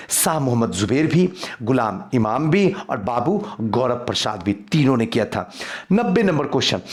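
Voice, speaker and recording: Animated man teaching. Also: on the high side. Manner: emphatic, repeats questions and answers. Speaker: exam coaching teacher. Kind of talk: quiz lesson delivered to online viewers.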